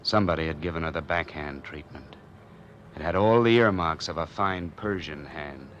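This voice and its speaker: A man acting a wary, hardboiled man on the run in an old radio noir drama, narrating and talking in terse, deadpan slang. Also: a bass voice, moderate pace, dull audio, a little hiss or hum. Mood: grim, tense. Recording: old time radio recording with music behind.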